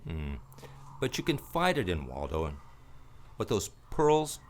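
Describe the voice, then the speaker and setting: A man's voice: sits low, wildly swooping pitch, slow pace, exaggerated voice. A man playing a cynical, laconic, world-weary private detective who is protective of a woman in a noir radio play. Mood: probing, wry. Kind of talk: radio play acting with first-person noir narration.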